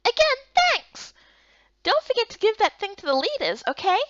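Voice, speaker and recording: Woman voicing a very high, excitable little boy. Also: normal speed, no echo, dull audio, heavily acted. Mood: eager, cheerful. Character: excitable, sweet young boy with a lost pet. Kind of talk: visual novel character voice.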